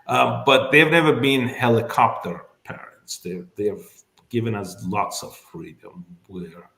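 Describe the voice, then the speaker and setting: A middle-aged man from Azerbaijan, whose first language is Azerbaijani, talks slowly through his memories, with lots of "um" and self-corrections. His voice sits fairly low. The sound carries a noticeable echo from the room. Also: steady tone, vocal fry, sharp tone. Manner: thoughtful, many fillers and restarts. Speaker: immigration lawyer, guest. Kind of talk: casual interview.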